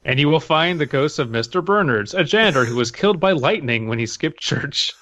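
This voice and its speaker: A man's voice: fairly high pitch, expressive, brisk, bright, forceful tone, no echo, highs cut off. Dry-miked man, sardonic podcast co-host. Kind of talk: casual banter and reading aloud.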